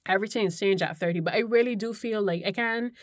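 A woman's voice: medium pitch, quick pace, no echo, studio quality. A woman of thirty co-hosting a podcast, talking in a reflective, rambling way. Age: 30s. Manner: reflective, loses her train of thought. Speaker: podcast co-host. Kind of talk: casual podcast chat.

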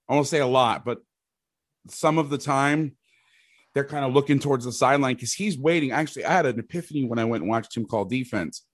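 A middle-aged man, a football coach with a loud voice, talking through defensive schemes in a rambling, casual way, often restarting his sentences. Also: mid-range voice, dry recording, clean studio sound. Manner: rambling, restarts sentences, occasional mild swearing. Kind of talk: casual podcast chat, explaining defensive schemes.

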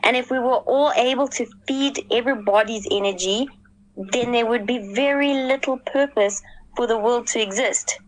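A woman's voice: fairly high pitch, normal speed, rough recording. A woman and mother speaking as a panelist in a casual podcast discussion, earnest and thoughtful, with many fillers.

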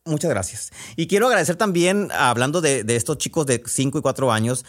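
A man with a Sonoran Mexican accent hosting a podcast, chatting casually in a folksy, halting way with asides as he reads out messages. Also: mid-range voice, animated, a bit bright. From Sonora, northwest Mexico. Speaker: podcast host and storyteller. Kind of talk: casual chat, reading out listener messages.

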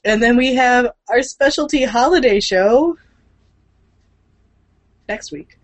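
Sick lady podcast cohost, low voice for a woman, playful n slangy, super dry sound. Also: slow pace, dry recording. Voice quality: deep for a woman. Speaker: podcast co-host. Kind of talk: casual chat.

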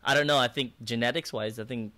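A man's voice: medium pitch, fairly even intonation, quick pace, sharp tone. A man who grew up in the Philippines and speaks Bisaya as his first language, a worship leader talking earnestly and reflectively in a casual conversation.

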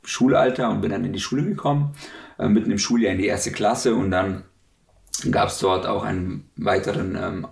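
Low, gravelly man in an echoey room. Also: steady tone, normal speed. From Russia. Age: 30s. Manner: hesitant, many filler sounds. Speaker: interviewee. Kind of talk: conversational interview. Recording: echoey room, distant mic.

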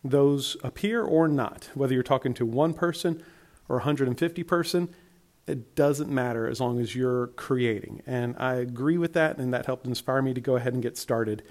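A man's voice: medium pitch, normal speed, darkish tone. A man from Alabama with a Southern accent, chatting through a solo podcast in a friendly, rambling way, his voice worn from a tiring week. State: tired, voice worn. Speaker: podcast host.

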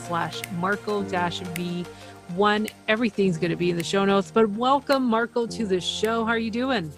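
A woman's voice: mid-range voice, steady tone, moderate pace, faint music behind. Upbeat woman hosting a podcast. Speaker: podcast host. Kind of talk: casual podcast interview.

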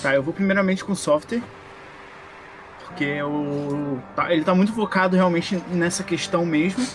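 A man's voice: sits high, normal speed, noisy background. A man with a Brazilian accent talking casually as a player in a tabletop game. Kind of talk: casual chat.

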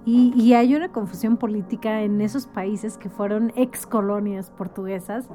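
A woman's voice: medium pitch, steady tone, moderate pace, warm tone, faint music behind. A woman talking as a guest in a casual conversation, explaining things like an informal storyteller and often checking for agreement. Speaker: interview guest.

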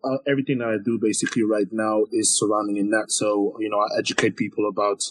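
A man's voice: lowish voice, flat delivery, quick pace, rough recording. A man in his twenties from the Netherlands, a podcast guest talking casually with many fillers and restarts. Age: 20s. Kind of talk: casual conversation.

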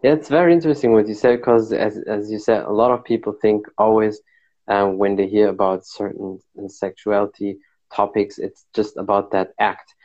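A man asks questions in a very deep voice, chatty and friendly. His audio comes through dull and lo-fi, like a compressed video call. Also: fairly even intonation, normal speed, darkish tone. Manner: friendly, chatty, many fillers. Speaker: podcast host. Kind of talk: casual interview questions.

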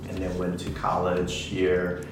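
A man who grew up in Taiwan, a real estate attorney giving halting answers with many ums in a casual interview. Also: low-pitched, completely flat delivery, slight background noise. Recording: distant echoey mic with music behind.

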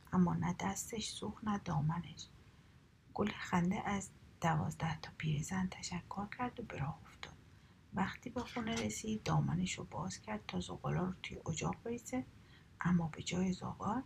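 A woman telling a fairy tale in a slow, soft whisper throughout. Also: lowish voice, gravelly, slight background noise. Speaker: storyteller. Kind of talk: whispered storytelling, ASMR.

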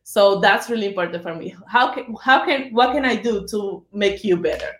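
A woman's voice: medium pitch, normal speed, fairly bright tone. Spanish-accented woman, earnest guest, echoey sound. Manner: earnest, plain-spoken, speaks simply in a second language. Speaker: title company business development executive. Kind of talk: podcast interview guest.

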